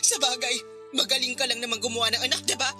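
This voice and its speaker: A woman's voice: a little high, level tone, fast talker, pushed and bright. A woman playing a bitter, grieving mother who blames her husband in a tearful, reproachful radio drama performance. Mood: bitter, scornful. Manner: tearful, reproachful, emotional delivery. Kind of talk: Filipino radio drama acting. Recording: music under the voice.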